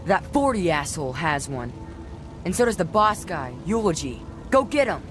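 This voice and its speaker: Game role: blunt, cocky young captive, played by an actor, mid-pitched, music underneath. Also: big pitch swings, normal speed, natural voice. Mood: urgent, blunt. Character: streetwise kid escaping slaver captivity, bossy and wary. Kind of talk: video game character acting.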